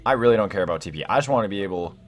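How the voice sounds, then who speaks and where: A young man talks through a game in a slightly low voice that jumps around a lot in pitch. He is excitable and slangy, thinking out loud as he plays. Faint music runs behind his casual commentary. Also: rapid-fire. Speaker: gaming video host.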